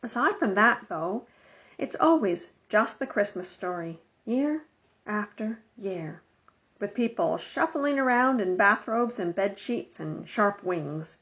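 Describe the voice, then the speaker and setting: A woman narrating a children's book aloud in an expressive, scripted storytelling style. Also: mid-range voice, slow pace. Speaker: storybook reader. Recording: dull lo-fi home recording.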